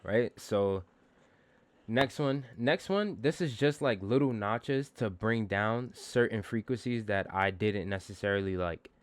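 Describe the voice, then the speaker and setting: A man with a low, bassy voice walks through a lesson in a casual way. His speech is clean and smooth, full of slang and the odd swear word, with a modest, self-deprecating streak. The sound is very dry and close. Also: measured pace, no echo. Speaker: music producer giving a vocal mixing tutorial. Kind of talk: casual tutorial talk.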